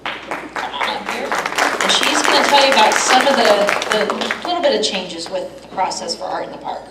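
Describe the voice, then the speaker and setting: A woman running a club business meeting, moving briskly through agenda items. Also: medium pitch, lively intonation, over a noisy crowd. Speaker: meeting chair. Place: meeting hall. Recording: echoey hall, voice from far off, background noise.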